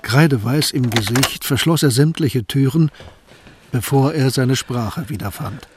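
A man narrating a radio play in an even storytelling manner. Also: medium pitch, measured pace, husky.

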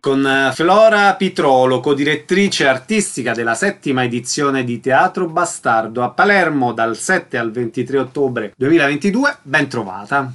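A man's voice: mid-range voice, animated, normal speed, hardly any pauses, a bit bright, soft background music. A man hosting a radio interview, putting short questions to a guest.

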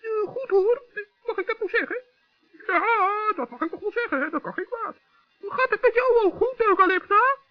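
A man's voice: extremely high pitch, moderate pace, voice pushed up. A man voicing a small, naive forest gnome in a children's radio play, hesitant and plaintive. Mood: wary. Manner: hesitant, plaintive, easily tempted. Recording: dull old radio recording.

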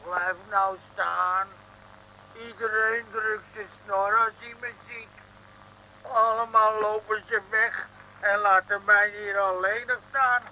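Slow, high-voiced lonely snowman. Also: little pitch movement, pushed and bright, heavily acted. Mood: plaintive pleading. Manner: whining, pleading. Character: lonely male abominable snowman, a plaintive, timid monster. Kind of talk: 1950s-60s Dutch comic radio serial acting. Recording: noisy old radio recording.

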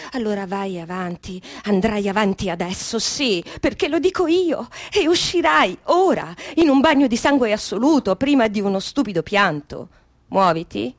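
An actress performing an intense radio drama monologue as an anguished girl being born, breathy and husky, moving between whispers and cries. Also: mid-range voice, dry recording. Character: anguished unborn girl being born, commanding then pleading.

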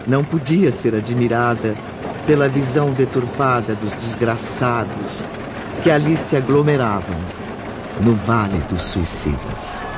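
A man giving measured, solemn scripted narration for a radio drama. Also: mid-range voice, dull audio.

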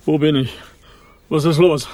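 An actor playing a pompous, indignant official held captive in a radio crime comedy, blustering and officious. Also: male voice, fairly high pitch, steady tone, a little slow, a little mellow. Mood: confused, alarmed.

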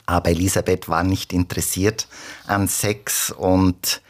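A man's voice: low voice, flat delivery, measured pace. A man answering interview questions as an expert, explaining and correcting in a matter-of-fact way.

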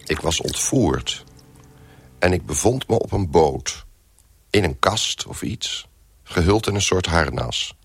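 An actor playing a trapped, bewildered man who tells his own ordeal in a radio drama, in a deliberate, musing, wry manner. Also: low voice, lively intonation, vocal fry, slightly rough, exaggerated voice. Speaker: narrator and lead character. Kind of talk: radio drama first-person narration.

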